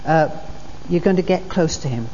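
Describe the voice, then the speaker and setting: A woman's voice: low-pitched, normal speed, warm tone. Woman preaching in an echoing hall. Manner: earnest, repeats key phrases for emphasis. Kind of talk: sermon. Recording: dull recording in a reverberant hall with faint noise.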